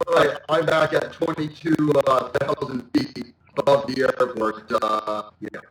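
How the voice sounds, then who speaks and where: A man's voice: mid-range voice, fairly even intonation, slow, a bit bright. A man chatting casually and a bit hesitantly as a pilot over game radio. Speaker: amateur pilot in online flight simulator. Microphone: online voice chat. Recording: roomy, distant voice-chat mic.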